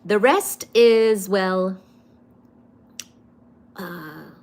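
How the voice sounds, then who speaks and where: Slow-talking Indian woman streaming live. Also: mid-range voice, darkish tone, slight background noise. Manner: unhurried, hesitant, reads comments aloud with frequent fillers. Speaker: livestream host. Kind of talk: casual live chat answering viewer comments. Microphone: phone or webcam on a live stream.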